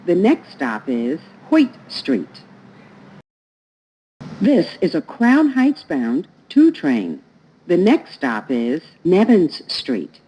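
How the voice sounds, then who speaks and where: A woman's voice: lowish voice, huge pitch swings, extremely slow, mellow. A woman reading scripted transit announcements in a formal, phrase-by-phrase manner, played over train speakers. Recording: muffled, noisy recording inside train. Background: train ambience noise.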